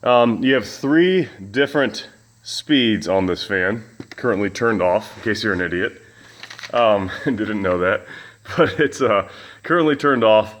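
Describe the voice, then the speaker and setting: A man with a loud voice, casually reviewing a fan in his home gym, rambling with lots of fillers and restarts. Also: lowish voice, expressive, a little slow. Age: adult with children. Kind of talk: casual product review vlog.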